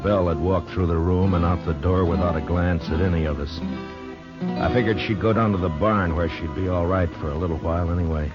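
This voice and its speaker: An actor playing a hard-bitten frontier marshal with an American accent, narrating and speaking tersely and grimly in an old-time radio Western. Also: male voice, deep voice, steady tone, fairly quick, lightly acted. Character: steady, hard-bitten frontier marshal telling his story. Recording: dull old radio recording with music.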